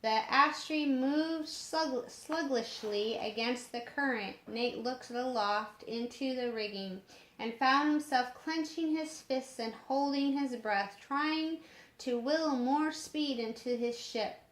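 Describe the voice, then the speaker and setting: A woman reading a story aloud in a measured way, sometimes stumbling over harder words, in an echoing room. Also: high-pitched, fairly bright tone. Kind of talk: storybook narration. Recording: roomy echoing home recording.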